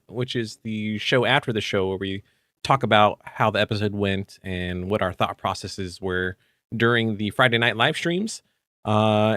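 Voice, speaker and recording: A man co-hosting a tabletop gaming podcast, raspy and hoarse from illness, talking easily with many fillers. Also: fairly low pitch, a bit bright, no room sound, clean studio sound. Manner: easygoing, with frequent fillers. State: hoarse, still coughing after illness. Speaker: podcast co-host. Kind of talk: casual chat about tabletop game rules.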